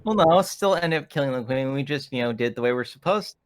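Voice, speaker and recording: Male tabletop player, average pitch, casual conversational delivery. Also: expressive, quick pace, smooth, no echo. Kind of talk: casual chat.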